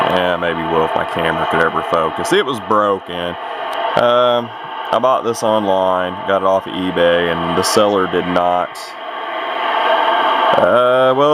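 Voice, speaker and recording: A man giving a casual, unscripted walkthrough as a hobbyist, explaining things in a rambling, matter-of-fact way. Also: low voice. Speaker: radio hobbyist presenter. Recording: clear noise behind, amateur camera audio.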